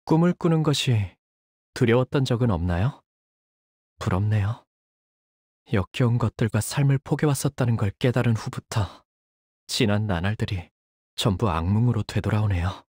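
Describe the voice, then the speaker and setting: Young fighter with sweeping, expressive pitch. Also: moderate pace, pauses often, breathy edge, no room sound, clean studio sound. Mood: bitter, fanatical. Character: earnest, anxious young man, a devoted shielding fighter; tormented young male zealot who purifies with fire. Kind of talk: video game character voice lines.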